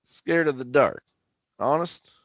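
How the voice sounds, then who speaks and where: A man's voice: mid-range voice, lively intonation, takes it slowly, dry recording, lo-fi sound. An actor playing a folksy, talkative oil-rig worker who tells his eerie tale in colloquial radio horror drama style. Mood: reassuring. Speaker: actor and narrator. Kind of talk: old-time radio horror drama acting and first-person narration.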